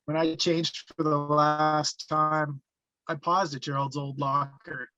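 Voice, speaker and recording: A man reading a haunted, reflective first-person narrator looking back on his youth, halting in places, on slightly muffled audio. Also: fairly high pitch, little pitch movement, sharp tone, no echo. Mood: reflective, regretful. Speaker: actor reading the narrator at a table read.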